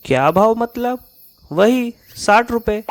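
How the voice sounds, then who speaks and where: A man's voice: extremely high pitch, expressive, slow, a little hiss or hum, pitched above the performer's own voice, heavily acted. A man playing an innocent, obliging male neighbour in a Hindi moral-story cartoon, casual in manner. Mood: puzzled. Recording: music behind the voice.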